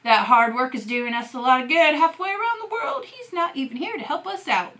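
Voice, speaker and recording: A storybook teenage boy, acted out by a woman, defiant and sarcastic. The delivery is challenging and sharp-edged, with a bright, forceful tone. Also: sits high, animated, brisk, pitched above the performer's own voice. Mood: angry challenge. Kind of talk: storybook character dialogue.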